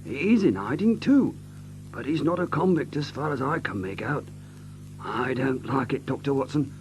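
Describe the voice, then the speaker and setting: An actor playing a fearful, deferential manservant in an audio drama, speaking with uneasy, respectful earnestness. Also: male voice, medium pitch, lively intonation, normal speed, a little mellow, soft background music, natural voice. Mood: fearful, foreboding.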